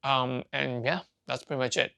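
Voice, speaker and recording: Card-channel host, male, raised in Canada and Hong Kong: a halting, repetitive casual talker with a bit of fry. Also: mid-range voice, fairly even intonation, sharp tone. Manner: rambling, halting, many restarts and fillers. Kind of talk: casual talk to camera.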